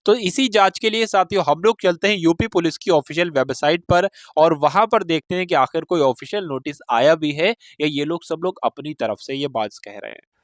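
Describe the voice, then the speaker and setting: A man's voice: a little high, normal speed, no room sound. A man hosting an exam preparation update, explaining informally to viewers, repeating and rephrasing his points and addressing them as friends. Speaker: host of exam preparation channel.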